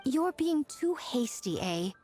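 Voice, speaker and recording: A woman playing a sly, poised, knowing priestess in a video game, measured and teasing. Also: sits high, sing-song, warm tone, full character voice. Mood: cautioning, teasing. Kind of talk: polished game voice acting. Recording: music behind the voice.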